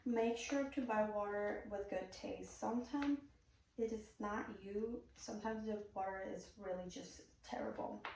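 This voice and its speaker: A woman's voice: mid-range voice, a little slow, vocal fry, darkish tone, a little hiss or hum. A woman from Puerto Rico with a Puerto Rican Spanish accent, a native Spanish speaker, giving a prepared persuasive speech in an earnest, direct way with a few "um"s. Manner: earnest, direct, occasional "um" fillers. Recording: very echoey room, mic far off.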